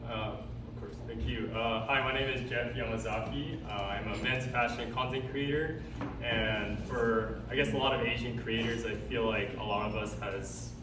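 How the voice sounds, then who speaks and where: A man giving a casual, halting account of himself as a guest on a live panel. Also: medium pitch, fairly even intonation. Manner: informal, halting, repeats words. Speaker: content creator, panel guest. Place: indoor department store event. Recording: roomy event space, distant mic, clear background noise.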